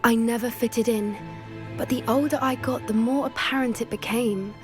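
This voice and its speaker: An actress playing an earnest young female student who loves magical creatures, speaking in a soft, thoughtful, sometimes hesitant way in video game dialogue. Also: a little high, fairly even intonation, moderate pace, music in the background, natural voice. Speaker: game character.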